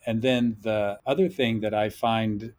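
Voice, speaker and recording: A man talking as a podcast guest and instructor, thoughtful and measured, often hedging his points. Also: on the low side, steady tone, darkish tone. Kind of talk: podcast interview talk.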